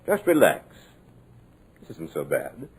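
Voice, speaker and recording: An actor playing a coldly polite, mad male captor in a 1940s radio horror drama, speaking in a formal, measured, sinister manner. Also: extremely low pitch, wildly swooping pitch, husky, full character voice. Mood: soothing madness. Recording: muffled old-time radio recording.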